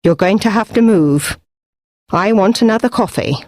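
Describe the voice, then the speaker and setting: A woman's voice: mid-range voice, expressive, moderate pace, darkish tone, no room sound, clean studio sound, close to an everyday voice. A woman voicing a mild, kindly village policeman in his thirties in a storybook reading. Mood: calm, obliging.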